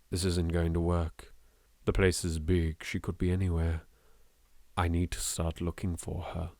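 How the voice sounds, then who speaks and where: A man's voice: low-pitched, gravelly, no echo, pitched below the performer's own voice, heavily acted. A man voicing an anxious, frightened young woman exploring a haunted mansion, reading horror visual novel text theatrically. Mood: hushed dread.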